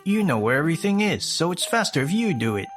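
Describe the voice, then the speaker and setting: Lively, swinging male delivery from an actor as a self-centred, dismissive young husband, comic-dub drama. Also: on the high side, fast talker, faint music behind. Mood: irritated, dismissive. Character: selfish, dismissive young husband who shirks chores. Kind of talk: scripted comic dub dialogue.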